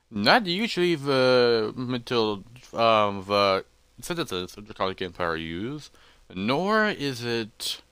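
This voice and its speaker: A man's voice: on the low side, a bit bright, smooth, no room sound, exaggerated voice. A man voicing a massive, curious explorer creature in a fantasy roleplay, hesitant and thoughtful as he talks to himself. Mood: musing, curious. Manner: musing, hesitant, talking to himself.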